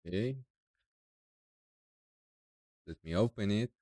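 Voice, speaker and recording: Young mexican guy, spanish accent, lowish voice, dry close sound, talking thru a server setup tutorial bit by bit. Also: no echo. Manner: halting non-native English, relaxed step-by-step walkthrough. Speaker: tutorial creator. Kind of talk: screen-recorded software tutorial.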